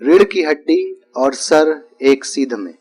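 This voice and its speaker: Slow-speaking man guiding yoga nidra. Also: on the high side. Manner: calm, deliberate, repeats phrases with pauses between short instructions. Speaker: yoga nidra instructor guiding a relaxation practice. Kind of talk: guided meditation instruction.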